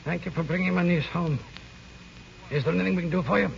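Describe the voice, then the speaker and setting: Stern, gravelly old uncle. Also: male voice, sits high. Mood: cold, hostile. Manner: curt, cold, slow-spoken. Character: stern, embittered elderly English uncle. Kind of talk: old-time radio drama acting. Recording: muffled, hissy old radio recording.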